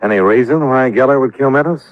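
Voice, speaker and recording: An American actor playing a sardonic, hard-boiled insurance investigator in the dry, clipped style of 1950s radio detective drama. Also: male voice, mid-range voice, quick pace, dry recording, close to an everyday voice. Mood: businesslike. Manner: dry, sarcastic, clipped questioning. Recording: muffled vintage radio recording.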